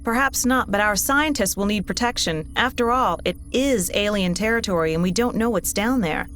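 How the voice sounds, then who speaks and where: A woman's voice: medium pitch, normal speed, a bit bright, faint music behind, natural voice. A woman playing a no-nonsense young crew officer in a video game, direct and businesslike. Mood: concerned. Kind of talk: video game cutscene acting.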